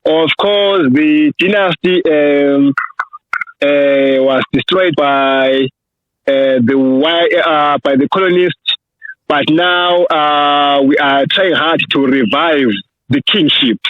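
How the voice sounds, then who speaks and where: A man with a Zimbabwean accent, an author answering interview questions in a halting way, with many fillers and restarts. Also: fairly high pitch, steady tone, no echo. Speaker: author and researcher, podcast guest. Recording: tinny phone line.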